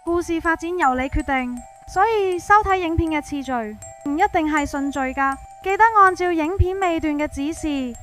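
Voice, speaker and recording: A woman's voice: sits high, normal speed, few pauses, a bit bright, soft background music. A woman with a Hong Kong Cantonese accent narrates a scripted suspense story in the first person, in a colloquial, dramatized way.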